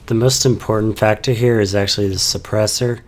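A young man calmly explaining his tactics over game footage, sounding a little stuffy from a cold, with frequent "uh" fillers. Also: lowish voice, brisk. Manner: calm, explanatory, many "uh" fillers. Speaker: gameplay commentator.

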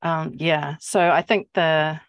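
A woman in her forties with a New Zealand accent, talking reflectively and haltingly as a poet on a panel, searching for words. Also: low-pitched, steady tone, no echo. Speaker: poet and academic. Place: book-lined office, indoors. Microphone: earphone mic on video call.

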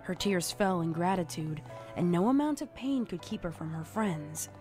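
A woman narrating a scripted horror story in a measured, dramatic storytelling manner. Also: lowish voice, expressive, music in the background.

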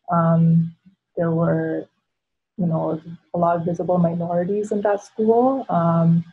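A woman's voice: on the low side, breathy edge. A woman in her thirties, born in the Philippines with Tagalog as her first language, sharing her story reflectively on a video call from home, with many ums and likes. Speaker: panel guest. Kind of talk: casual personal storytelling. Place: home living room. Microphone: laptop webcam on a video call. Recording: tinny video call audio.

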